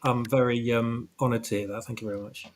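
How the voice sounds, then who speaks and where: A man's voice: medium pitch, fairly even intonation, fast talker. A man chatting casually as a guest on a live stream, polite and modest in how he talks. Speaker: guest, audio drama creator.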